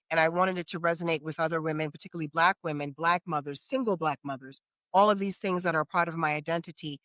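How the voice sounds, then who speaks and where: A woman's voice: low-pitched, a bit bright, dry recording. A middle-aged American woman answering questions as a guest in a conversational podcast interview. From United States.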